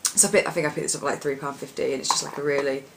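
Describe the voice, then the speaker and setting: A young woman with a husky voice, chatting casually about her favourite products in a rambling, filler-heavy way. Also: low-pitched, fairly even intonation, racing, background noise. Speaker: beauty vlogger. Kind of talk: casual product chat to camera.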